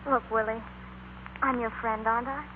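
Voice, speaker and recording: A woman playing an anxious, kindly teenage girl in a 1940s radio drama, hurried and concerned. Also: fairly high pitch, steady tone, close to an everyday voice. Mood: gently persuading. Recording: muffled, very noisy 1940s radio recording.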